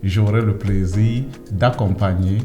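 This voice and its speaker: A man's voice: fairly low pitch, fairly even intonation, a little slow, warm tone, soft background music. A man hosting a podcast interview with young guests, asking informal questions and checking back with short tags. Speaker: host.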